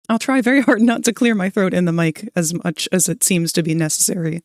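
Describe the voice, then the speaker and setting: A woman's voice: medium pitch, normal speed, a little mellow, dry recording, clean studio sound. Chatty woman streamer with a scratchy voice. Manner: chatty, greets viewers, laughs and clears throat often. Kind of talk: casual stream chat. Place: cold room at home.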